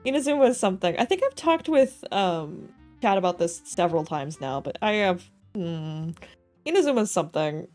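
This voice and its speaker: A woman's voice: lowish voice, very animated, normal speed, darkish tone. A woman doing casual live video game commentary, thinking aloud in a playful way. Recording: faint game music behind the voice. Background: game soundtrack.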